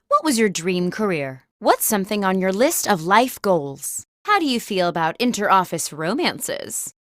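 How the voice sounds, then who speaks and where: A woman playing a job interviewer who hosts a comedic party video game, with crisp, theatrical scripted delivery. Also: on the high side, a bit bright, no room sound, clean studio sound. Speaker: game show host character.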